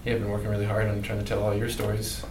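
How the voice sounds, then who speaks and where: A man with a Canadian accent introducing himself on a panel in a relaxed, filler-heavy way. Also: on the low side, very fast. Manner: relaxed, loosely paced with fillers. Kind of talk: casual self-introduction on a panel. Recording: roomy hall, mic at a distance, clear noise.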